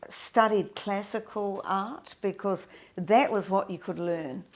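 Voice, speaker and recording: An older woman, reminiscing in an interview in a halting, thoughtful way with many pauses. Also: medium pitch. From New Zealand. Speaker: guest, pianist and composer.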